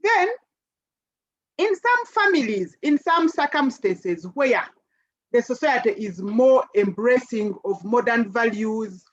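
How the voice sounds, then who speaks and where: A woman's voice: fairly high pitch, expressive, slow. A woman from Uganda speaking earnestly as a webinar panelist, often repeating words as she searches for what to say next. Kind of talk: webinar discussion, personal account. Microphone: video call. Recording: dull lo-fi video call audio.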